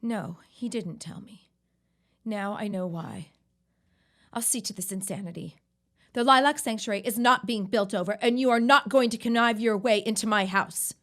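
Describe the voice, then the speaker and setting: A woman's voice: mid-range voice, moderate pace, fairly bright tone, lightly acted. A woman playing an indignant, defiant young newlywed wife in an audio drama. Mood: indignant anger.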